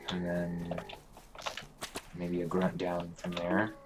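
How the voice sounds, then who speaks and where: Hoarse, congested man narrating gameplay. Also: sits low, noisy background. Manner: relaxed, halting, thinking aloud with many fillers. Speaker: let's play host. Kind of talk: casual gameplay commentary.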